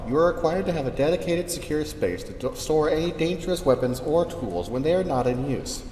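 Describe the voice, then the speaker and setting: Guy doing formal safety manual announcer, dryly wry, lively pitch, roomy echo, bit of noise. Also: close to an everyday voice. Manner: formal, methodical. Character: official male safety regulations announcer, matter-of-fact, dryly wry. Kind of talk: scripted instructional narration. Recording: echoey reverberant sound, faint noise.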